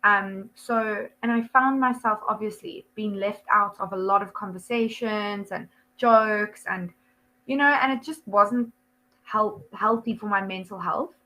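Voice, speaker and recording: A woman's voice: medium pitch, measured pace, sharp tone, poor audio. A young South African woman with a South African accent, an online English tutor chatting casually over a video call, laughing often and full of ums and likes. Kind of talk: casual one-to-one lesson chat.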